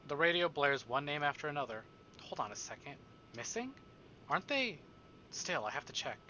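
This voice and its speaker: Male livestreamer, highish sharp voice, dramatic narration, joking asides, visual novel read-aloud, faint background noise. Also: sing-song, moderate pace, lo-fi sound.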